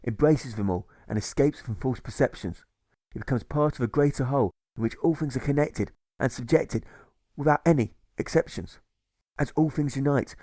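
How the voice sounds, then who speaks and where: A man narrates a rhyming audiobook in a measured, rhythmic way. His pitch sits in the middle for a male voice, and the recording is dry and free of room sound. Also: darkish tone, dry recording. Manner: measured, rhythmic verse delivery. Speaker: author reading own audiobook.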